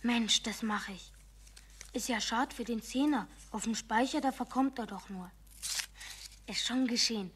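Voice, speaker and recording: A woman's voice: fairly high pitch, a little slow, pauses often, fairly bright tone, slight background noise. A woman playing an eager young boy with a Bavarian accent in a children's radio play. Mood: furtive. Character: eager young boy, keen stamp collector.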